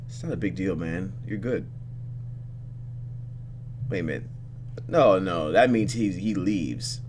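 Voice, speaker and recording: A young man hosting a let's play, reading story text aloud and commenting casually in an animated, conversational way. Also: sits low, measured pace, noisy background.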